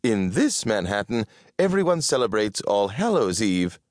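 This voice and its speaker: A man voicing a prim, fussy and excitable woman with a proper, exclamatory way of scolding, as in an audiobook. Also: fairly low pitch, normal speed, no room sound, studio quality, voice pushed up. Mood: fussy, insistent.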